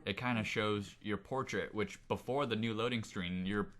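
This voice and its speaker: Lower-voiced man in casual gaming chat. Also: moderate pace, a bit bright. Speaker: podcast co-host.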